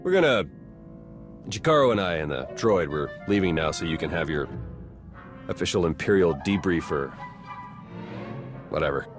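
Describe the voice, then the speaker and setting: An actor playing a casual, slightly awkward spy ally in a video game, talking in an informal way. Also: male voice, low voice, expressive, normal speed, pauses often, over music. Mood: flustered. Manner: informal, colloquial. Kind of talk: video game cutscene acting.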